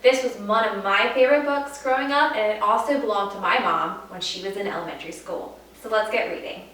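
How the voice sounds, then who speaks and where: A woman teacher reading a children's picture book aloud to students, with expressive storytelling and stressed repeated words. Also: mid-range voice, brisk. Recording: roomy echo, distant mic, faint noise.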